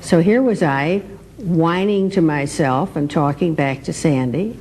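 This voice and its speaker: Older woman reminiscing in a lowish voice. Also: measured pace, darkish tone. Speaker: former radio performer being interviewed. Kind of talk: casual reminiscing interview. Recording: noisy with low rumble.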